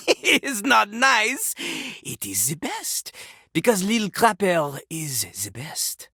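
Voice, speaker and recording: A woman's voice: medium pitch, wildly swooping pitch, slow pace, sharp tone, breathy edge, no room sound, clean studio sound, full character voice. A woman voicing a boastful, vulgar male French rapper toilet in a video game, with a French accent and a pompous, theatrical delivery that slips into rap. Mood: boastful, insistent. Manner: pompous, refers to himself in the third person.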